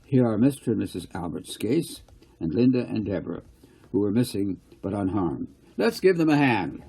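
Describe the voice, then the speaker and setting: A man's voice: on the low side, very animated, normal speed, creaky, dark tone, husky, exaggerated voice, rough recording. A man voicing a formal, wordy government minister giving an awkward, placating speech in a storybook reading. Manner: stiff, wordy, placating officialese.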